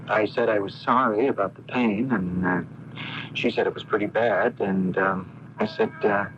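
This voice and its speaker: An actor playing a polite, unassuming young medical graduate in a radio drama, speaking haltingly with many pauses. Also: male voice, fairly low pitch, fairly even intonation, fairly bright tone, close to an everyday voice. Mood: uncertain, recalling. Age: young adult. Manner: hesitant, halting, full of pauses. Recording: dull noisy AM radio broadcast recording.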